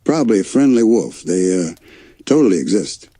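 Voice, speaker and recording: A man's voice: low voice, sing-song, moderate pace, warm tone, no room sound, voice pushed up. A man voicing a goofy, boastful young male cartoon bear in a bedtime story, enthusiastic and slangy. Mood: breezy bravado.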